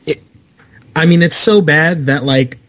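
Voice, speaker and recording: A man's voice: fairly high pitch, a little slow, a bit bright. A man chatting casually and jokingly as a panelist on a group podcast.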